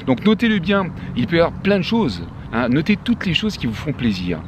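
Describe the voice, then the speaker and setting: A man's voice: a little high, huge pitch swings, a little fast, background noise. A French man in his fifties, talking casually to camera from a park bench, chatty, with many fillers and restarts. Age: 50s. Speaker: vlogger, life coach. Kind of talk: casual motivational talk to camera.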